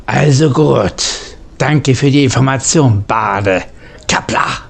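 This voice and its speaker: A man's voice: mid-range voice, slow, creaky. A man speaking his game character's replies aloud in a role-play style. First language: German.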